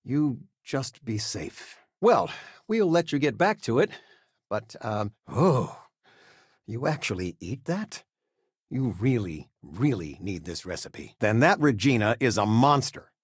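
An older man playing a warm, fussing retired-teacher father in a video game, with a hesitant, folksy, expressive manner. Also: medium pitch, slow, husky, no room sound, clean studio sound, heavily acted. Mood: gentle, hesitant. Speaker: voice actor. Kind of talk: video game character dialogue.

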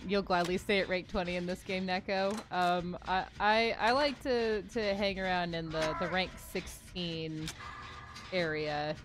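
A woman's voice: lowish voice, fairly even intonation, unhurried, music in the background. A woman streamer chatting casually and playfully while gaming, swearing freely. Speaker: game streamer.

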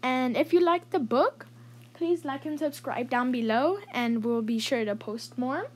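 A woman reading a children's story aloud in an expressive, clearly enunciated storytelling manner. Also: high voice, measured pace. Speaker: host reading children's picture book aloud.

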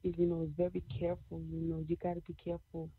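Soft-voiced woman on a tinny phone line. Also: lowish voice, completely flat delivery, racing, breathy edge. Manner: preachy, repeats "you know" and "all right". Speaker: motivational speaker, guest. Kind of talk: faith-based interview answers.

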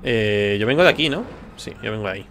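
Bright-voiced Spanish male game streamer. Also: fairly low pitch, animated, fast, faint music behind. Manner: muses aloud, informal. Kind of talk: casual gameplay commentary. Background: video game sound.

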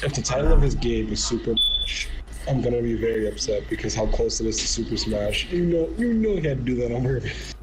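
A man's voice: medium pitch, lively intonation, brisk, heavy background noise. A man giving casual, slangy live reaction commentary as a streamer. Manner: informal, slangy. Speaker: streamer reacting to a game showcase. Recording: game showcase audio playing behind. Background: video soundtrack with music.

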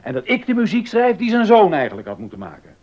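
An actor playing a stern, exacting baroque composer and organist in a docudrama, emphatic and easily indignant. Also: male voice, huge pitch swings, fast, a little mellow, full character voice. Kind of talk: docudrama radio acting. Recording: dull audio with rumbling noise.

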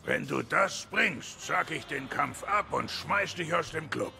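An actor playing a big, gruff gorilla boxer in a video game, with a gravelly voice and blunt, colloquial speech. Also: male voice, fairly high pitch, animated, measured pace, pushed and bright, faint music behind, full character voice. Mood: gruff recollection. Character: big gruff male gorilla boxer, hot-headed but loyal. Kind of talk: German game dub dialogue.

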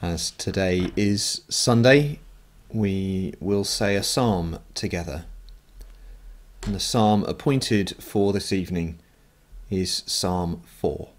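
A man leading night prayer as a priest, reading scripture and prayers in a measured, solemn way, phrase by phrase. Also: low voice.

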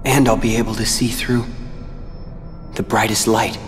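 An actor playing a brooding, quiet teenage boy in a video game cutscene. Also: fairly low pitch, flat delivery, moderate pace, close to an everyday voice. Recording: reverberant with music.

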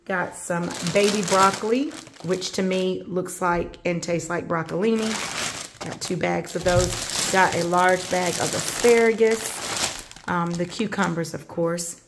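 A woman in her thirties casually listing grocery items at home, rambling with many pauses and fillers, filmed on a handheld phone. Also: fairly low pitch, steady tone, background noise. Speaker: vlogger showing a grocery haul. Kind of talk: casual show-and-tell narration. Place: home kitchen, indoors.

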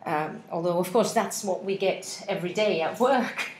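A woman's voice: on the low side, normal speed, echoey room, a little hiss or hum, poor audio. A woman in her forties, a native Finnish speaker, giving a practice speech to interpreters with many hesitations and spelled-out words, recorded on a laptop webcam in an office room. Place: office meeting room with window blinds.

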